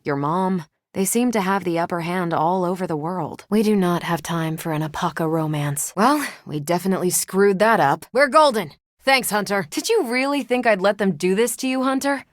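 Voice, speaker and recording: A woman's voice: lowish voice, no room sound, studio quality, lightly acted. A young woman with an American accent playing a confident, wisecracking superhero in a video game, wry and punchy. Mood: battle shouts. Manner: wry, punchy, self-assured. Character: confident, wisecracking, battle-ready female superhero. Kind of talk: video game character voice lines.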